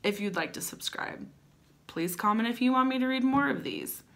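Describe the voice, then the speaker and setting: A young woman hosting a vlog, giving dramatic comedic readings with wry asides in a theatrical, sardonic manner and emphatic pauses. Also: a little high, lively intonation, gravelly, a bit bright. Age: young adult. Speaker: vlog host. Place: small plain-walled room at home.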